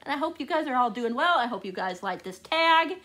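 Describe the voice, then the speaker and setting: A woman with a fairly high voice talks in a casual, chatty vlog style. She gushes as she goes, doubling up words for emphasis and speaking straight to her viewers. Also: expressive, a little fast, a bit bright. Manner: gushing, repeats words for emphasis. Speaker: book vlogger.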